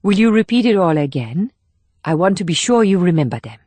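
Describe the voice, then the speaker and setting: A woman playing a gentle, guarded young woman operative in audiobook dialogue, speaking in a measured, instructive way. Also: fairly low pitch, very animated, no room sound.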